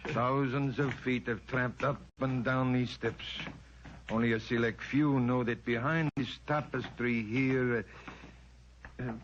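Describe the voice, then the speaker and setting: Actor as older male innkeeper, middle pitch, polite, hesitant, secretive, muffled vintage radio drama. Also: fairly even intonation, measured pace, close to an everyday voice. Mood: courteous, secretive. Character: nervous, courteous older hotel proprietor, cautious and secretive. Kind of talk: old-time radio drama acting. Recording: dull, noisy vintage radio recording.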